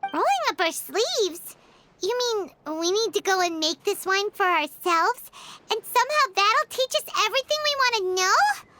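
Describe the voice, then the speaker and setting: A woman's voice: sing-song, bright, forceful tone, a little hiss or hum, full character voice. A woman voicing a tiny floating fairy companion, chirpy, blunt and bossy, in a game. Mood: suspicious. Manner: squeaky, bossy, quick to tease.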